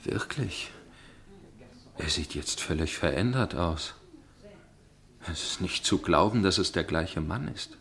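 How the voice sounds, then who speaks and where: A low-pitched gentleman storyteller from a radio play. He is inquisitive and suspicious. His delivery is formal and measured, with a probing edge. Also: animated, gravelly, heavily acted. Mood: suspicious, intrigued. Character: inquisitive gentleman narrator, suspicious dinner guest with probing temper. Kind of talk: German radio play narration and dialogue.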